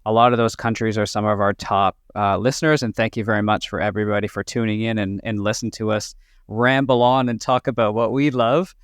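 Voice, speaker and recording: A man's voice: fairly low pitch, fairly even intonation, a little fast, clean tone, dry recording, studio quality. A man hosting a podcast interview in a casual style, asking loose, rambling questions. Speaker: podcast host.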